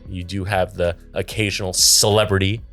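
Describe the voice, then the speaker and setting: A man's voice: deep voice, moderate pace, soft background music. A man co-hosting a casual podcast, reading out viewer comments and teasing his co-host. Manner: playful, teasing, lively. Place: podcast studio.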